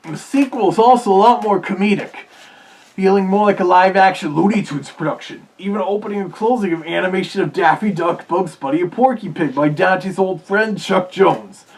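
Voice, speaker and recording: A man's voice: sits high, moderate pace. A man reviewing in casual running commentary, with wry asides. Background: read-along record playing with music.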